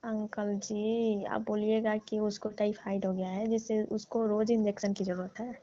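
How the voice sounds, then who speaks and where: A woman's voice: mid-range voice, level tone, normal speed, dark tone, no echo. Woman coaxing a caller playfully on the phone. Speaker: caller posing as patient. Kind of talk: staged funny phone call. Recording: muffled phone call recording.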